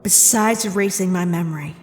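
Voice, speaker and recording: A woman's voice: on the low side, fairly quick, natural voice. A woman acting a betrayed, indignant young princess in a dramatic comic dub, with an accusing, emphatic delivery. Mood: stern, disappointed. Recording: long hall reverb under music.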